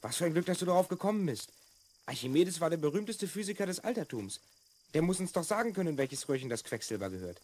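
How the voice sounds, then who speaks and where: Boy time traveller, twelve. Children's audio drama, highish pitch, earnest, emphatic delivery. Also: sing-song, fast talker, darkish tone. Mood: excited, eager.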